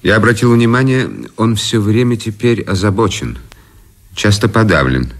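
An actor in a Russian radio drama playing a courteous, sympathetic officer, speaking in a measured, restrained way. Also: male voice, on the low side, close to an everyday voice. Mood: measured, doubtful.

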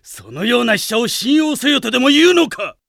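An actor playing a proud, suspicious older general in a radio drama, with indignant, old-fashioned speech. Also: male voice, very high-pitched, sing-song, a little fast, creaky, fairly bright tone, dry recording, studio quality, full character voice. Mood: angry distrust. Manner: indignant, blustering archaic speech.

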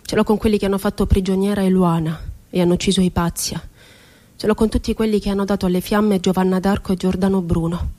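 An actress performing a theatrical monologue as an impassioned, rebellious, poetic woman, declaiming with deliberate, emphatic phrasing. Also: medium pitch, a little mellow, natural voice. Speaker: stage actress.

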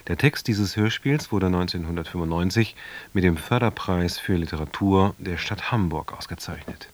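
A man's voice: deep voice, normal speed. A man reading a scripted introduction as a radio announcer.

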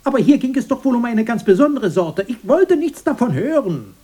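A man's voice: very high voice, sing-song, a little fast, mellow, exaggerated voice. An actor playing a defensive, dismissive grown brother recalling his childhood in a radio drama.

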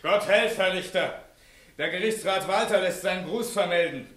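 An actor playing a respectful servant who announces plainly and dutifully in a 1960s German radio verse comedy. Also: male voice, sits high, moderate pace, fairly bright tone. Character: respectful servant bringing urgent messages. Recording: roomy, echoing vintage radio sound.

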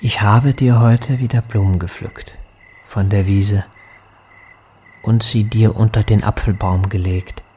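Slow, low-voiced grieving man, played by an actor. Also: steady tone, slight background noise, close to an everyday voice. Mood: wistful, quiet. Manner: slow, hushed, wistful monologue. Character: lonely grieving man speaking tenderly to his lost beloved. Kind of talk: poetic radio drama monologue.

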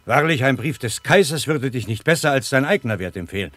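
An actor playing a grave, commanding noble warlord in a radio drama, solemn and declamatory. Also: male voice, mid-range voice, animated, quick pace, a bit bright, no echo, soft background music. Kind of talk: classic stage-like radio drama acting.

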